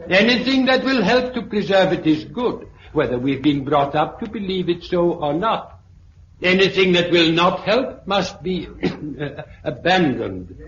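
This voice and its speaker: An actor playing an elderly British sociology professor, pompous and pedantic, lecturing a meeting in a 1950s radio drama. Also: male voice, high voice, expressive, fairly bright tone. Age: older. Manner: pedantic, deliberate, oratorical. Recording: muffled, noisy, echoey 1950s radio recording.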